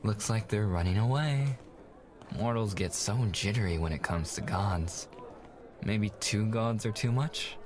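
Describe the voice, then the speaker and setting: A man's voice: lowish voice, a little slow, noisy background, close to an everyday voice. A man playing a confident, friendly god in an audio roleplay, talking in a casual, teasing way. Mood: teasing, conspiratorial.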